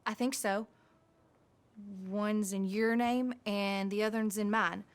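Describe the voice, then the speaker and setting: A woman's voice: medium pitch, fairly even intonation, unhurried, natural voice. An actress playing a hardened American frontier wife and mother, frank and rural in speech, in a game drama. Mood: teasing. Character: hardened, plain-spoken frontier wife and mother, fed up yet hopeful. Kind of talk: naturalistic video game drama acting.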